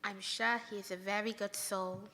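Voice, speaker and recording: A woman's voice: mid-range voice, level tone, moderate pace, bright, forceful tone. An actress playing a careworn, tender mother and wife in mourning, in earnest live radio theater. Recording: reverberant hall.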